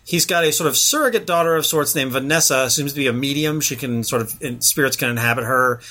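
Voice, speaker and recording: A man's voice: mid-range voice, fast, bright, forceful tone. A man hosting a casual podcast chat, rambling through a show review with lots of fillers and restarts.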